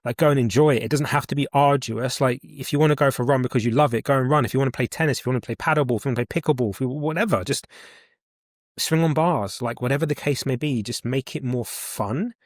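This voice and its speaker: A man in his forties hosts a podcast, talking in an eager, emphatic way and often repeating a point to drive it home. His voice sits at an average pitch for a man. The recording is dry and close, with no room echo. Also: fast talker. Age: 40s. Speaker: podcast host. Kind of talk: casual podcast conversation.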